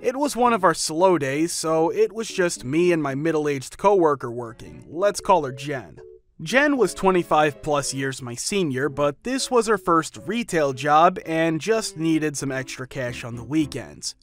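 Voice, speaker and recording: A man narrating first-person workplace stories from a script, with sudden jumps up in pitch and loudness mid-sentence. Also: big pitch swings, measured pace. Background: faint background music.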